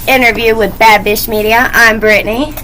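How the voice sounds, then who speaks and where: Bright-voiced woman hosting a loose interview. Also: on the high side, fairly even intonation, moderate pace, slight background noise, poor audio. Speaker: interview host. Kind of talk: casual interview banter.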